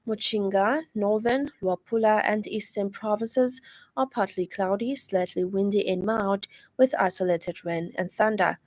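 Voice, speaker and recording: A woman with a Zambian accent reads a scripted radio news bulletin in a formal, measured way. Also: medium pitch, steady tone, a little mellow, no room sound. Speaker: radio newsreader. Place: radio studio.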